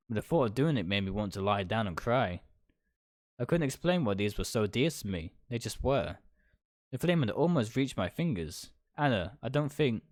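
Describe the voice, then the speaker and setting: A man's voice: on the low side, dry recording, clean studio sound. A man reading a visual novel's narration aloud on a livestream, stumbling over and rereading some lines. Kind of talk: live read-aloud of narration with asides. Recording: music behind the voice. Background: game music.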